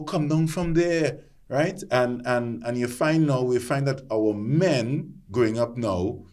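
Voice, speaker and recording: A deep-voiced man speaks earnestly on a discussion panel. His pitch sits in the ordinary middle range, and he keeps restarting phrases as he presses his point home. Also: a little mellow. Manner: earnest, repeats and restarts while building a point.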